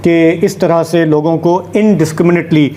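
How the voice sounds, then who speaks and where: Higher-pitched, mellow male news presenter. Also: fairly even intonation, moderate pace. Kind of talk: live news discussion.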